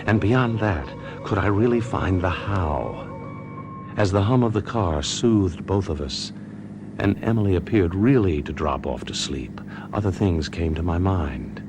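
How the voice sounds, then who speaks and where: Deep-voiced, coaxing, scheming husband. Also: male voice, animated, a little slow, over music. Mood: brooding, calculating. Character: smooth-talking scheming husband, coaxing, secretly murderous. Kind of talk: old-time radio mystery acting and narration.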